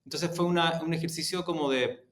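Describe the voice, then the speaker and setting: A man answering interview questions in a reflective, halting way, repeating words for emphasis. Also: a little high, steady tone, a bit bright. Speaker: executive interview guest. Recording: roomy, echoing sound.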